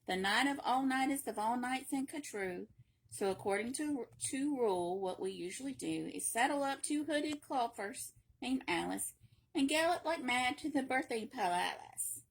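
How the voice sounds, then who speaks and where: A woman reading a children's picture book aloud in a playful, expressive way, with emphatic repetition and chatty asides. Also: on the high side, normal speed, creaky, low-quality recording. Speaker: library read-aloud reader.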